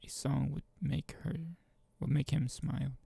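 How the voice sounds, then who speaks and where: Gravelly, husky man narrating casually. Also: fairly high pitch, level tone, warm tone, no room sound. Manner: informal, halting. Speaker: gaming channel host. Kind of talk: reading a visual novel aloud, casual commentary.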